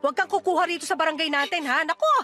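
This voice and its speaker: A woman playing a feisty, joking, devoted single mother of about forty in a Filipino radio drama, with a breezy, teasing, expressive delivery and a Filipino accent. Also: very high voice, racing, soft background music.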